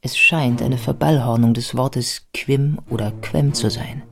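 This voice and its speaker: A man narrating a scripted biographical radio play in a measured, scholarly manner. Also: medium pitch, fairly even intonation, creaky.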